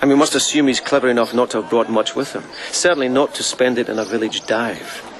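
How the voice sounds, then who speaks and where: Practical, wry lieutenant played by an actor, noisy outdoors. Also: male voice, mid-range voice, fast, lightly acted. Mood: wry, pragmatic. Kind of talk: radio drama acting.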